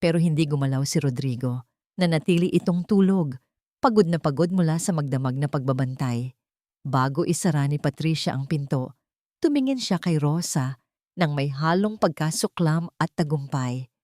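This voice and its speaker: A woman's voice: sits low, lively intonation, normal speed, warm tone, dry recording, studio quality. A woman reading scripted dramatic fiction as an audiobook narrator.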